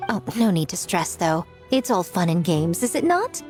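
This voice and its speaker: An actress playing a poised, sly festival organizer in an anime game, speaking with playful, leisurely teasing. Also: female voice, on the low side, big pitch swings, moderate pace, a little mellow, faint music behind.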